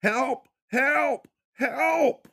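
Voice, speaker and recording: Casting: panicky, whiny hostage, pitched high, pleading hard. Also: male voice, very slow, creaky, sharp tone, full character voice. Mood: panicked. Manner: shrill, begging, pleading. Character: panicked whining captive man.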